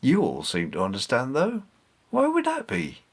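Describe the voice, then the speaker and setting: A bewildered estate workman from a storybook, acted out by a male reader. He talks plainly and colloquially, like an unschooled countryman. His pitch moves about in a lively, puzzled way. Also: moderate pace, husky, exaggerated voice. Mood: baffled, shaken. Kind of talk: storybook character voice.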